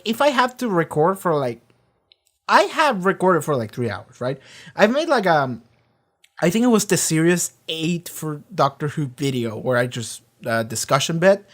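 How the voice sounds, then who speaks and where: A reaction video host in a casual, rambling discussion, often talking loudly, swearing freely and filling pauses with lots of "like" and "um". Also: medium pitch, lively intonation. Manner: swears freely, many fillers and restarts; often talks loud.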